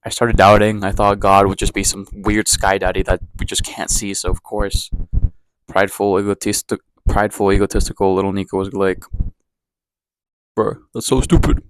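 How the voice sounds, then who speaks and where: A young man from Ecuador with a somewhat low voice talks straight to the camera in a casual daily vlog. He rambles, circles back and pokes fun at himself, keeping the tone candid and unpolished. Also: little pitch movement, moderate pace, a little hiss or hum, rough recording. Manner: rambling, self-deprecating, candid. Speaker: vlogger. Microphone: camera mic, talking to camera.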